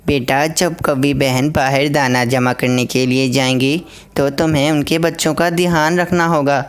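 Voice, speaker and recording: Worried mother sparrow, voiced by a woman, smooth voice. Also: rumbling low, steady tone, normal speed, pitched below the performer's own voice. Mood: protective, sorrowful. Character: worried, crippled mother sparrow, gentle and protective.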